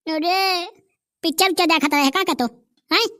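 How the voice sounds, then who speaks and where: A young village grandson, voiced by a performer, sounds high and light. He speaks in a Bundelkhandi accent and swings between pleading and teasing. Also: unhurried, bright, forceful tone, full character voice.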